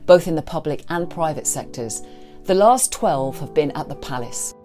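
A woman hosts an interview podcast in a fairly low voice. She reads the guest's introduction and then asks reflective questions with enthusiasm. Faint music sits under parts of it. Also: a little mellow. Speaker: podcast host.

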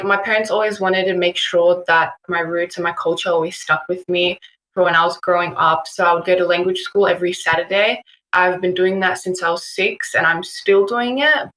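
A woman's voice: fairly low pitch, fairly bright tone, low-quality recording. A teenage girl with an Australian accent, chatting as a podcast guest with lots of "like" fillers. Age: teens. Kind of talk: casual interview answers.

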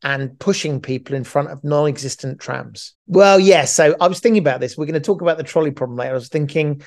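A man's voice: a little high, fast talker, darkish tone. A middle-aged man co-hosting a podcast, chatting casually in a rambling, joking way and often restarting his phrases. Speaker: podcast co-host.

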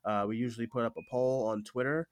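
A man with a stuffy cold hosts a casual podcast, talking in a halting way that often doubles back on phrases. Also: mid-range voice, fairly even intonation, dry recording. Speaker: podcast host.

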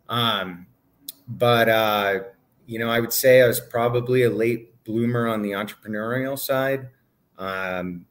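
A middle-aged man from Hawaii, a guest in an interview, talking casually and rambling, with many fillers and restarts. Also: medium pitch.

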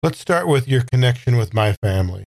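A man's voice: mid-range voice, animated, brisk, creaky, darkish tone, no room sound. A man playing a weary, questioning man with a dry manner in improvised audio drama roleplay. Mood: probing.

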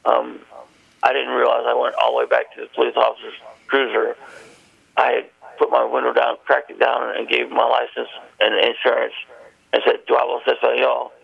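Gravelly man on a muffled phone line. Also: medium pitch, little pitch movement, brisk. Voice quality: gravelly, husky. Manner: rambling storytelling. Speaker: guest.

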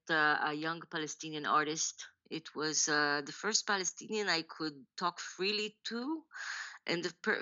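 A woman in her forties from Israel, a novelist telling her story in a podcast interview, thoughtful and halting with many restarts and laughs. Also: fairly low pitch, bright, forceful tone, lo-fi sound. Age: 40s. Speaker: novelist guest.

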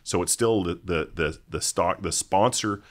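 A middle-aged man, a mining executive, answering interview questions in a halting, deliberate way with many restarts. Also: sits low. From Canada, raised in Vancouver. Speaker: mining company executive, guest.

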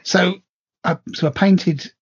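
A man co-hosting a podcast, talking in a relaxed, hesitant way with many ums and a very raspy voice. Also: high voice, slow. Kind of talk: casual hobby chat. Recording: dull lo-fi audio.

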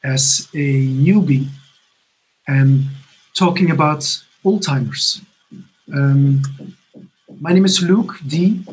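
A man in his fifties from Belgium giving a personal recovery testimony from his apartment, halting and earnest with many restarts. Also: fairly high pitch, darkish tone, low-quality recording. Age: 50s. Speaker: recovery conference speaker. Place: home apartment.

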